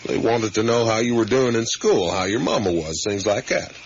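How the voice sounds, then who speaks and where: An actor playing an easygoing, talkative middle-aged father in a naturalistic radio drama, with a folksy, rambling, gently teasing manner. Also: lowish voice, expressive, moderate pace. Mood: gentle, apologetic. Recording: muffled, hissy 1980s radio broadcast.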